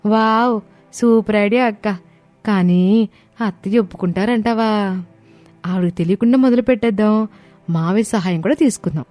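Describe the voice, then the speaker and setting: A woman's voice: mid-range voice, moderate pace, long pauses, darkish tone, no room sound, faint music behind, close to an everyday voice. A woman voicing an earnest, eager young daughter-in-law in a dramatized story. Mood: scheming, eager.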